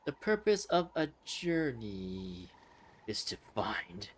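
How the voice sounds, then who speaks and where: A man's voice: fairly low pitch, sing-song, slow, husky. A man giving casual, joking commentary as he plays a game. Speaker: gameplay streamer.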